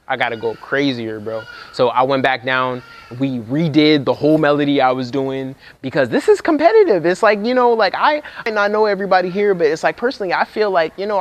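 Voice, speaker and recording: Laid-back young man vlogging. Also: a little high. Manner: laid-back slangy talk, gets fired up when excited. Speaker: music producer vlogging. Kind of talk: casual vlog talk to camera. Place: music production workshop house.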